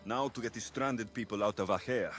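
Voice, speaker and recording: Low-voiced ancient warrior played by a man. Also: a little fast, a bit bright, faint music behind, natural voice. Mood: resolute. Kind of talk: video game cinematic acting.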